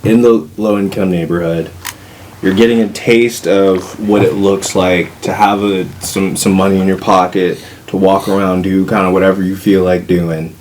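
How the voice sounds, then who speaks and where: A man with a deep voice works as a casual interviewer, asking questions and chiming in conversationally. Faint room noise sits behind him. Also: flat delivery, normal speed, a little mellow. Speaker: podcast interviewer.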